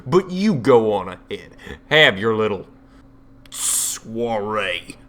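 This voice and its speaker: A man's voice: lowish voice, very animated, slow, fairly bright tone, heavily acted. A man playing a blunt, sardonic leader of dragon slayers in an audio drama. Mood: scornful, bitter. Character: gruff, defiant American man leading dragon slayers.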